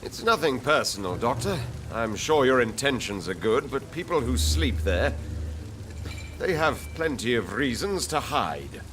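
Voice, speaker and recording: A man's voice: medium pitch, very animated, a little slow, fairly bright tone, a little hiss or hum. An actor playing an earnest, jittery night patrolman and vampire hunter in a video game, with a theatrical manner. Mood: guarded, confiding. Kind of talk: video game dialogue acting. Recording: game dialogue with music behind.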